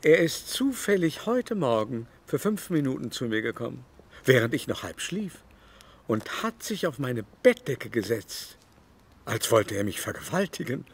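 A vain, aging French baron of high society in a radio drama. He speaks with haughty affectation and sly insinuation, in a medium-pitched male voice. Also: big pitch swings, measured pace, slightly rough, exaggerated voice. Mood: insinuating. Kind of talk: literary radio play dialogue.

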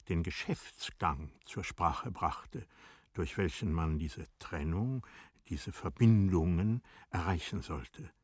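Male narrator, husky, lowish pitch, formal scripted literary storytelling, deliberate delivery. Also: a little mellow, no echo, studio quality.

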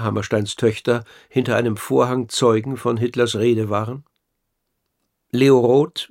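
A man's voice: medium pitch, vocal fry. A man narrating a scripted radio documentary drama in a formal, probing manner, as narrator and interviewer.

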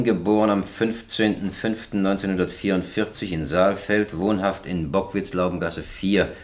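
An actor playing a stern, formal presiding judge with an official, commanding manner in a radio drama. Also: male voice, sits low. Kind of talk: radio courtroom drama acting.

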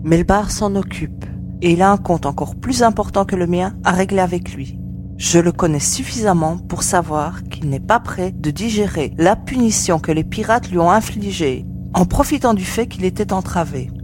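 A determined young female mercenary played by a woman in a science fiction audio drama. Her voice is fairly low, with clear music playing underneath. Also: moderate pace, close to an everyday voice.

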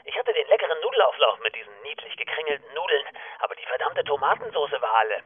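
A man's voice: on the high side, sing-song, fast talker. A man playing a chatty, mischievous office prankster in a comedy audio drama, heard over a tinny voicemail line. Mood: chatty, surprised. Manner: chatty, casually nonchalant.

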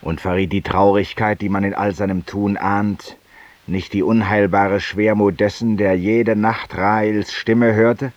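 A man's voice: fairly low pitch, level tone, a little slow, dry recording, close to an everyday voice. An actor playing a world-weary, eloquent, guilt-ridden man who confesses intimately to an unseen companion in a 1950s radio drama monologue. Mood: anguished, accusing. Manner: intimate, rhetorical, addressing an unseen companion, sometimes dropping to a whisper. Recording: muffled, distant 1950s radio recording.

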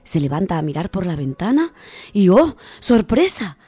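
A woman with an accent from Spain, presenting and reading children's stories on the radio in an expressive, dramatic way. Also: fairly low pitch, normal speed, slightly rough, dry recording. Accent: Spanish from Spain. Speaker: radio storytelling contributor. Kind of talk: children's book reviews and expressive story reading. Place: radio studio.

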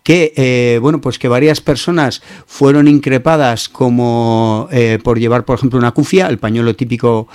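This is a man with a Peninsular Spanish accent and a medium-pitched voice. He presents news commentary as a radio host, informally and with clear opinions. His phrasing halts and regroups as he goes, and the sound is dry, with little room around it. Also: steady tone, normal speed, hardly any pauses, darkish tone, no echo.

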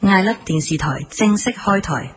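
A woman's voice: medium pitch, animated, moderate pace. A woman working as a station announcer, reading a scripted broadcast announcement.

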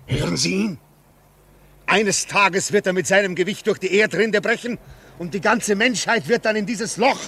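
An actor in a 1950s German radio drama playing a brooding, haunted, lonely railway signalman, halting and intense in manner. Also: high-pitched, a bit bright, breathy edge, exaggerated voice. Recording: noisy 1950s radio recording.

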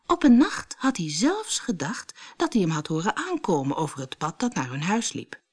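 A woman reads a children's story for an audiobook. Her voice sits a little low, and her intonation moves up and down a great deal. She tells it expressively, as scripted narration. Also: normal speed, husky. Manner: expressive storytelling, acts out dialogue.

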